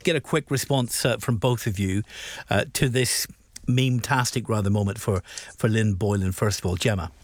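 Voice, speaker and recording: Low-voiced male presenter. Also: normal speed, dry recording. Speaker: radio or TV current affairs host. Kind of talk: political interviewing, moderating.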